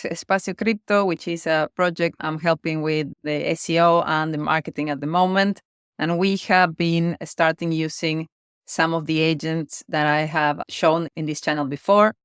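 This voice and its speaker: A woman's voice: deep voice, smooth, no room sound, clean studio sound. A woman from Argentina with an Argentine Spanish accent, a native Spanish speaker, hosting and interviewing on a podcast. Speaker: podcast host.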